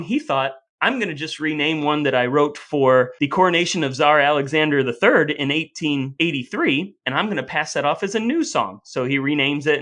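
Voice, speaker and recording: A man with an American accent co-hosting a podcast, telling stories in an animated, conversational way. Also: fairly high pitch. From United States. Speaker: podcast co-host. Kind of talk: conversational storytelling and discussion.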